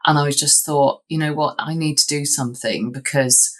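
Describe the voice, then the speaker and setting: A woman's voice: low voice, fairly even intonation, normal speed. A woman, telling her story as an interview guest, chatty with frequent ums and restarts. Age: 50s. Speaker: guest, lawyer. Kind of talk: candid interview answers.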